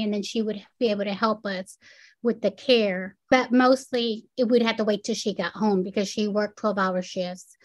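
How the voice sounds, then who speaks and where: A woman's voice: medium pitch, no echo, low-quality recording. A middle-aged woman from Puerto Rico whose first language is Spanish, recounting her experience in an earnest, conversational way with occasional fillers. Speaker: foundation president and executive director. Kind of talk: interview, personal recollection.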